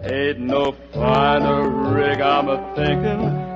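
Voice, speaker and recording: A man's voice: mid-range voice, level tone, takes it slowly. A man singing a show tune as a 1950s big band vocalist. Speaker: band singer. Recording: muffled old radio recording. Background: loud band music.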